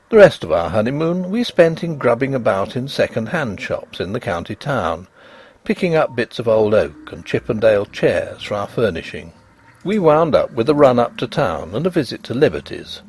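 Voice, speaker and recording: A man narrating a literary story in a measured, expressive storytelling manner, as for an audiobook. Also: mid-range voice, creaky, husky.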